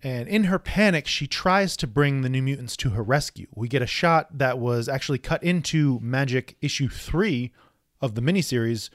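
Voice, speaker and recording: A man's voice: mid-range voice, lively intonation, moderate pace, no echo. Male podcast co-host, casual comic recap, narrates panels, dry close sound. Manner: narrates the panels and reads dialogue aloud.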